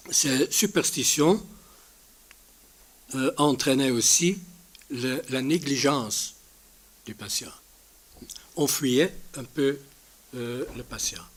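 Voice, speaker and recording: A man, a native Dutch speaker with a Dutch accent, giving a conference presentation in a halting way, searching for his words. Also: mid-range voice. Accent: Dutch-speaking, non-native French. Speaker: conference speaker from a healthcare organisation.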